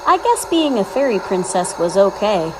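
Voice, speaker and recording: A woman's voice: medium pitch, very animated, normal speed, background noise, natural voice. A woman voicing a spirited, confident young girl from a storybook, speaking plainly. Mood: modest. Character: spirited young girl in fairy princess costume.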